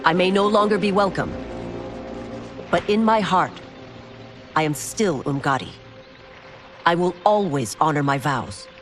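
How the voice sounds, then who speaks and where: Stern, loyal former royal guard. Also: female voice, lowish voice, animated, measured pace, pauses often. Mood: urgent, firm. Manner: formal, earnest, resolute. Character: proud former royal guard woman turned constable chief, stern and loyal. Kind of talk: dramatic video game cutscene acting. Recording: orchestral game music behind.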